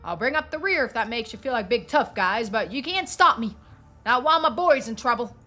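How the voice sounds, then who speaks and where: A woman doing a furious, forceful country father. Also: fairly high pitch, lively intonation, brisk, faint music behind, voice pushed up. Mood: angry, belligerent. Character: furious, foul-mouthed rural father demanding his son back.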